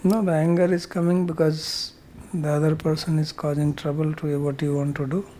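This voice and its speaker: A man's voice: fairly high pitch, little pitch movement, brisk, dark tone, slight background noise. A man speaking as a spiritual teacher, explaining scripture verse by verse in a plain-spoken way.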